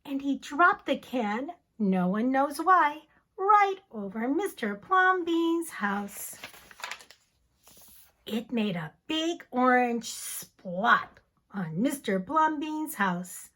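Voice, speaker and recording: Teacher, woman, high and very animated, slow dramatic storybook reading. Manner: expressive, theatrical storytelling. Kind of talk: dramatic picture-book reading.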